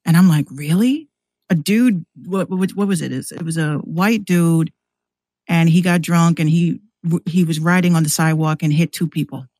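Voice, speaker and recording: An American woman chatting casually and candidly in a slangy, animated way, acting out exchanges as she tells stories. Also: fairly low pitch, moderate pace, no room sound. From New York City, US. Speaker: podcast guest, rapper and radio show host. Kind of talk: casual conversational storytelling.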